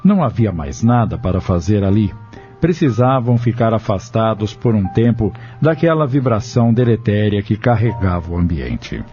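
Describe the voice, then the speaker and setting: A man's voice: fairly low pitch, sing-song, moderate pace. A man narrating a dramatic audio novel from a script in a solemn, literary manner. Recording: dull lo-fi audio with music behind. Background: music.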